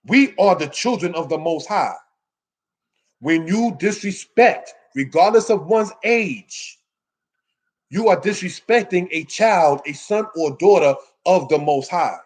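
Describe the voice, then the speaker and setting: Higher-pitched man preaching a scripture lesson. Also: lively intonation, unhurried. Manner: exhorting, emphatic, with rhetorical questions. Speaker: religious teacher giving a scripture lesson. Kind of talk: sermon, informal Bible teaching addressed to a congregation.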